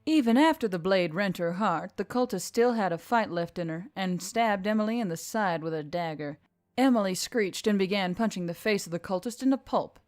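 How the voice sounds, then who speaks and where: A woman's voice: fairly low pitch, a little mellow. A woman reading scripted action fiction as an audiobook narrator. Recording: background music under the voice.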